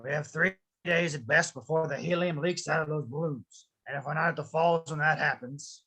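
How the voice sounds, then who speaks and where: A grumpy old widower from an animated film, played by a performer in a table read, speaks in a husky, forceful voice. He is curt and easily exasperated, always pushing others along. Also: mid-range voice, normal speed. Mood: irritated.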